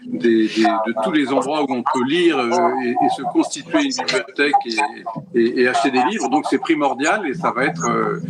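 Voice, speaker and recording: A man speaking as a panel guest, pausing often with fillers. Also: medium pitch, a loud crowd, poor audio. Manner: hesitant with many fillers. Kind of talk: panel discussion by video call. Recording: loud background noise.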